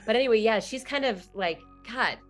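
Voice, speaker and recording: A woman's voice: on the high side, brisk, soft background music. A woman playing a tabletop game, casually talking through what a character feels.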